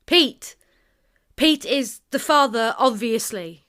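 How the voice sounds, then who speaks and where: An actress playing a hesitant but principled female survivor in an audio drama, speaking haltingly and frankly. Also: a little high, animated, fairly bright tone, clean tone. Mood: nervous, hesitant.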